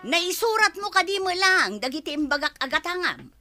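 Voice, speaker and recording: Shrill, bossy schoolteacher. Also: female voice, very animated, moderate pace, pushed and bright, faint music behind, exaggerated voice. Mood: irritated, scolding. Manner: scolding, exasperated. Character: bossy, irritable female schoolteacher and household employer. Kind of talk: Ilocano radio comedy drama acting.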